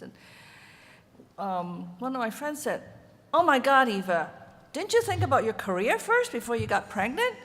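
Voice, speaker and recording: A woman's voice: mid-range voice, a bit bright. An older woman from Hong Kong, a native Chinese speaker, giving a candid and chatty panel talk about her ceramic art. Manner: candid, chatty, laughs easily. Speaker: ceramic artist presenting at a panel. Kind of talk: conference talk. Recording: reverberant hall with faint noise.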